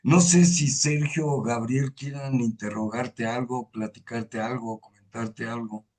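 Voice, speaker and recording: A man hosts a relaxed interview. He speaks in long, winding sentences and stops often on drawn-out fillers. His pitch sits in an ordinary middle range for a man. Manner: hesitant and wordy, many drawn-out fillers. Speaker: host. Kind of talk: conversational interview on a video call.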